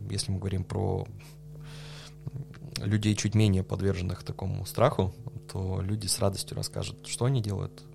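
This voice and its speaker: Low gravelly-voiced man, hesitant. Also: a little hiss or hum. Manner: thoughtful, hesitant with many fillers, laughs softly. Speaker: podcast guest, coffee judge. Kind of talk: relaxed conversational interview.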